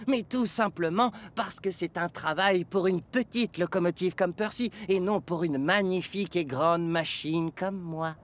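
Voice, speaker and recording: A man's voice: sits high, a little slow, hardly any pauses. A man voicing a vain, boastful talking steam engine in a French-dubbed children's cartoon, theatrical and pompous. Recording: music behind the voice.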